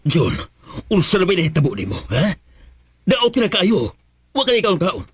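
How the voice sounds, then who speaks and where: Stern caring dad, visayan filipino accent, dramatic swings in pitch, radio drama w music. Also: male voice, huge pitch swings, brisk. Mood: stern, exasperated. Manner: earnest, dramatic. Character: stern but devoted middle-aged father. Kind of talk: Bisaya radio drama acting.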